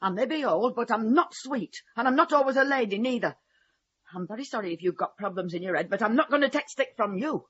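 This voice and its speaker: A proud old British woman in a radio play, husky and blunt. She stands her ground with indignant dignity. The sound is dull and muffled. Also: on the high side, expressive, fast talker, a bit bright, no room sound. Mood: indignant, defiant. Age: older. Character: indignant, proud, plain-spoken old grandmother, no sweet lady. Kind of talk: British radio drama acting.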